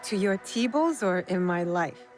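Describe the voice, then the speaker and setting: A young actress playing a direct, earnest warrior heroine in a video game. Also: female voice, medium pitch, animated, unhurried, faint music behind, close to an everyday voice. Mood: kind, calm. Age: young adult. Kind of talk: video game cutscene acting.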